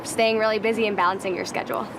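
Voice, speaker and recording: A woman's voice: mid-range voice, fairly even intonation, racing. A young woman pageant contestant giving earnest, polished interview answers. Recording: background noise.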